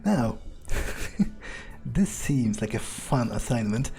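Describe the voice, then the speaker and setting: A man plays a cynical vampire in a video game, musing aloud in a mellow, dark voice. He is sardonic and sweary, speaking haltingly over faint music. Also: mid-range voice, big pitch swings, creaky, heavily acted. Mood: amused, scheming. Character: cynical, amused, ruthless male vampire player character. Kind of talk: improvised in-character video game roleplay.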